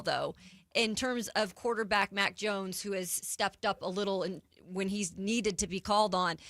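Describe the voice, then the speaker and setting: A woman's voice: mid-range voice, bright, forceful tone, no echo. A woman co-hosting a sports radio show, talking casually about the game.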